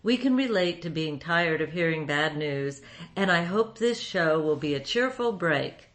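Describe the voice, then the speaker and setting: Female radio host, lower-pitched voice, scripted program introduction. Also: lively intonation, measured pace, fairly bright tone.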